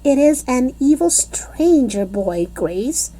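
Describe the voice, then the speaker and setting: Woman doing a bossy little kindergarten girl, loud, childish grammar, high lively voice, storybook. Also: unhurried, heavily acted. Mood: urgent.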